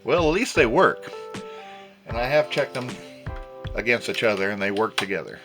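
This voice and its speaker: A man's voice: on the low side, animated, normal speed, over music. A middle-aged man giving a casual, folksy vlog demonstration as the host of a vintage gadget channel. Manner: folksy, chuckles often.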